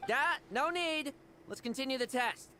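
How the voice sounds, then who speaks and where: A woman's voice: sits high, normal speed, bright, forceful tone, slight background noise. A woman voicing a cocky, defiant young fighter in a video game. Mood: cool, daring.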